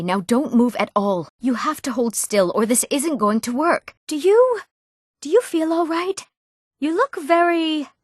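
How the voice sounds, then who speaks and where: A woman's voice: on the high side, no room sound, studio quality. An actress playing an eager, earnest young woman in a fantasy video game, speaking with careful articulation. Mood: anxious, apologetic. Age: young adult.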